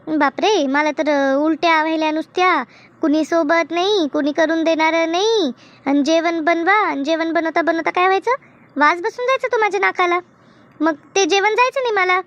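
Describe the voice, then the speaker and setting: A woman voicing a gossipy, teasing village woman in a comedy cartoon, with an expressive, complaining way of talking. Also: very high-pitched, normal speed, no echo. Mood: aggrieved. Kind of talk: colloquial comedy cartoon dialogue.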